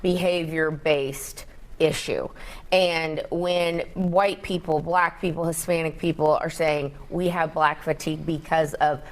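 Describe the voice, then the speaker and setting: A woman's voice: deep voice, fairly even intonation, unhurried. A woman panelist arguing her point assertively in a panel debate. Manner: assertive, argumentative.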